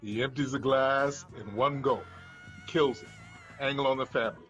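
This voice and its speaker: A man's voice: medium pitch, fairly even intonation, normal speed, fairly bright tone, muffled recording, faint music behind. Male narrator reading screenplay stage directions.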